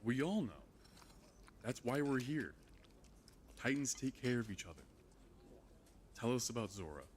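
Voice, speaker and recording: A man's voice: mid-range voice, big pitch swings, measured pace, slight background noise. A man playing a solemn, probing warrior comrade with a commanding manner in a fan audio drama. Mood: steady, knowing.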